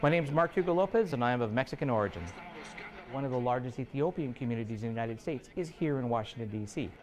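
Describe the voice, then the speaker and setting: Brisk male research expert. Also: medium pitch, expressive, poor audio. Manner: brisk, explanatory. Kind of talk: expert interview.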